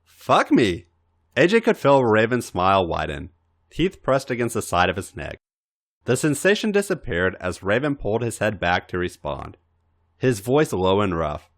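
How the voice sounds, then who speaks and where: A man's voice: lowish voice, sing-song, normal speed. A man reading fiction aloud in a theatrical, emphatic narrating style. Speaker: podcast host reading fan fiction aloud. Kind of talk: dramatic audiobook-style narration.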